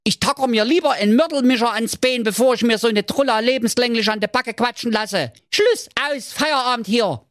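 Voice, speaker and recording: A man's voice: very high voice, huge pitch swings, a little slow, few pauses, no echo, studio quality, voice pushed up, full character voice. A man voicing a boastful, dim-witted young male suitor in a comic fairy tale, brash and blunt. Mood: snapping.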